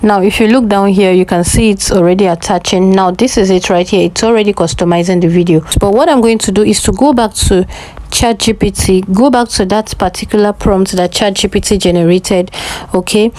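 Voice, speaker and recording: A woman's voice: medium pitch, steady tone, brisk, darkish tone, rough recording. A woman presenting a casual step-by-step tutorial, instructing and often checking that the listener follows.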